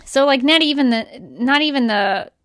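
A woman's voice: high voice, animated, normal speed, fairly bright tone, no room sound. A woman co-hosting a podcast in a casual, chatty and emphatic way, often acting out imagined remarks. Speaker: podcast co-host. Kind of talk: casual chat.

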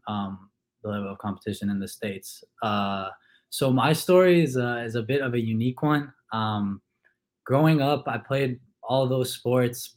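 A young man from Kuwait, a college football player, answering interview questions in a relaxed way with many fillers. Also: mid-range voice. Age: young adult.

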